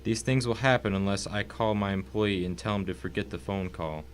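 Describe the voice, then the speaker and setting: A man acting a wealthy, cultured villain in a story drama, formal and mock-courteous, calmly threatening. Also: fairly low pitch, brisk, slight background noise, close to an everyday voice. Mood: courteously menacing. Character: calm, wealthy, menacing husband, cultured villain. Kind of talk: dramatic audio reading of a short story.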